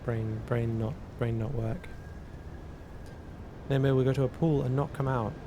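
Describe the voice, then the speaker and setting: Halting man streaming over a rumble. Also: fairly even intonation. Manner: thinking aloud, halting, repeats words. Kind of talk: casual live-stream chat, reading chat and working math aloud. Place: cold indoor room. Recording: noisy with a low rumble.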